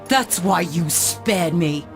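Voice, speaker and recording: An actress voicing a fierce, wronged angel warrior in a video game, intense and accusing. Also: female voice, fairly low pitch, expressive, slow pace. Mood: bitter accusing. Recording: music behind the voice. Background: music.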